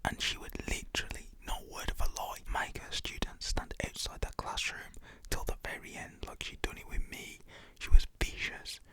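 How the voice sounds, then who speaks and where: A man telling a story in a hushed, chatty whisper full of fillers. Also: moderate pace.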